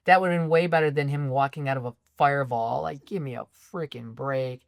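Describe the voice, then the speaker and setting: A woman co-hosting a film podcast, ranting in exasperation about a movie's plot holes, her voice going a bit raspy. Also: rumbling low, brisk, no room sound, studio quality. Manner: exasperated, ranting, animated complaints. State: voice getting raspy. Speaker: podcast co-host. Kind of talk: casual film critique chat.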